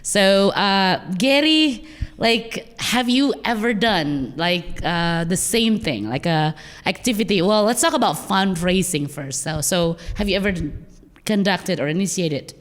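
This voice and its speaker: A hoarse woman from Indonesia, hosting a casual podcast chat in an upbeat, laughing manner and asking her co-hosts questions. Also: medium pitch, animated, measured pace. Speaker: podcast host. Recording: reverberant room.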